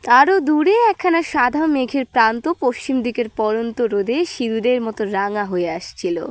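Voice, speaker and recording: A woman's voice: a little high, normal speed, no room sound. A woman reading a literary short story aloud as scripted narration. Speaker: audio story reader.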